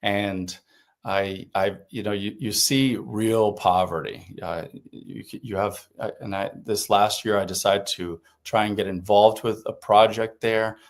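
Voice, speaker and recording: A man's voice: fairly low pitch, fairly even intonation, a little slow. A man from Puerto Rico whose first language is Spanish, a guest reflecting in an interview with frequent restarts and fillers. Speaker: podcast guest. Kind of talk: conversational interview.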